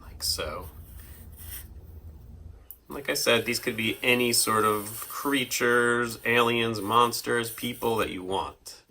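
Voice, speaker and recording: A man's voice: mid-range voice, slow, sharp tone. A man hosting a children's drawing lesson, casually talking through each step in an informal, playful way with simple explanations. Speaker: host of a children's drawing segment.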